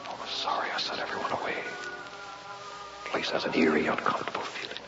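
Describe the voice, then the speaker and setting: An actor playing a watchful investigator in an old radio drama, speaking in clipped, dramatic phrases. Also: male voice, fast, loud music behind the voice, natural voice. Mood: weary, musing. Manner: clipped musing monologue, dramatic. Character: weary, watchful male investigator guarding a museum at night. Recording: muffled, echoey vintage radio recording.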